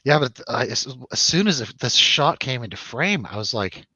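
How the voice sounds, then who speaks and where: A man's voice: medium pitch, sing-song, normal speed, sharp tone, no echo. A man hosting a casual film review chat, rambling and jokey, putting questions to his co-host. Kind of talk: casual chatty film discussion over drinks.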